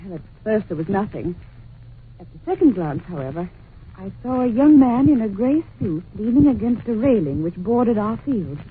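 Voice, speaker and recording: A woman's voice: medium pitch, big pitch swings, moderate pace, phone-quality sound, natural voice. A woman playing a polite, anxious and curious young governess in an old-time radio drama, telling things earnestly and expressively. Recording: noisy, thin old radio recording.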